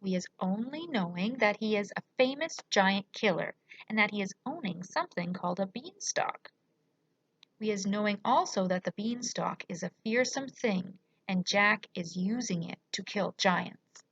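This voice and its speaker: A woman voicing a gentle male storybook giant, speaking earnestly and often hushed, in quaint muddled grammar. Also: mid-range voice, lively intonation, moderate pace, slightly rough, no room sound, dull audio. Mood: earnest explaining.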